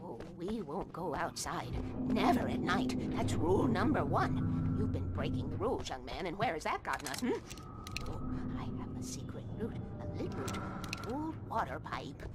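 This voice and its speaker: A woman's voice: lowish voice, sing-song, normal speed. Swooping, scolding eccentric older woman. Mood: scolding, cryptic. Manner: scolding, clipped, insistent. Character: stern, eccentric older woman, a reclusive keeper of light. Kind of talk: video game voice acting. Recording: loud music behind the voice.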